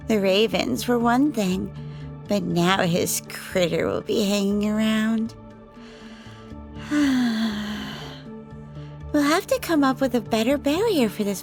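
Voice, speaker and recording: A woman's voice: mid-range voice, animated. A woman playing a playful, flirtatious lover. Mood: teasing, confiding. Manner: teasing, unhurried storytelling with frequent laughter. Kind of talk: ASMR audio drama. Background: background music.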